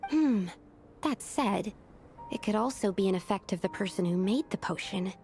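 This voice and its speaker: A woman voicing a curious young female alchemist in a video game, explaining in a thoughtful, measured way. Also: mid-range voice, lively intonation, a little mellow, soft background music, close to an everyday voice.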